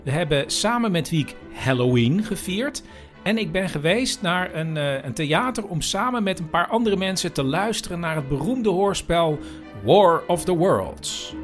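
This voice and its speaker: Animated higher-pitched man. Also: measured pace, faint music behind. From Netherlands. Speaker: podcast host. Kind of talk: informal scripted podcast narration.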